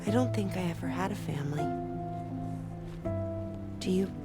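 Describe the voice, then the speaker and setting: A woman's voice: lowish voice, moderate pace, loud music behind the voice, close to an everyday voice. An actress playing a lonely, guileless young woman who speaks hesitantly in a video game cutscene. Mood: tender, uncertain.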